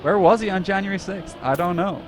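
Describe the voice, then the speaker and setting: A man's voice: high-pitched, brisk, soft background music. A man co-casting an esports stream in a casual, joking, mock-earnest style. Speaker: esports co-caster.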